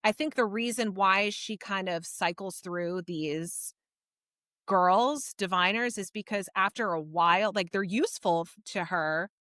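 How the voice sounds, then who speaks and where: A woman with a bright, forward voice talks through a book as a podcast co-host. She explains in a casual, animated way, and the sound is close and dry. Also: mid-range voice, measured pace, dry recording. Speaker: podcast co-host reviewing books.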